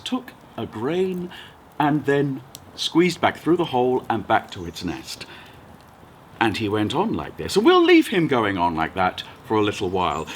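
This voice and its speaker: A man telling a folk tale aloud as a storyteller, dramatic and expressive, with deliberate repetition. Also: mid-range voice. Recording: noticeable background noise.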